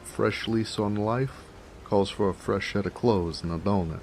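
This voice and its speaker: Man acting a slow, very low-voiced American noir mobster. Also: darkish tone, a little hiss or hum. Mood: warm, teasing. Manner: folksy, teasing, chatty, chuckling. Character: jovial, affectionate 1920s noir mobster, a big cuddly man. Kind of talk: noir romance audio roleplay, 1920s gangster slang. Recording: faint rain ambience behind voice. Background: rain.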